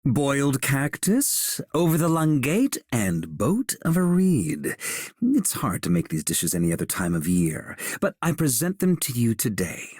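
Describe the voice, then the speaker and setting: Husky, courteous gentleman with lively pitch. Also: unhurried, no echo, studio quality. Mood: warm, gentle. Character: gracious, courteous male game operator. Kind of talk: scripted game character voice lines.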